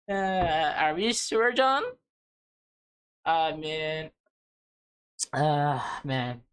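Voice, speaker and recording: A woman's voice: low voice, animated, extremely slow, pauses often, fairly bright tone, clean tone. A woman reading a visual novel aloud for a let's play, commenting casually and addressing viewers informally as guys and man. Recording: music playing behind the voice. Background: music.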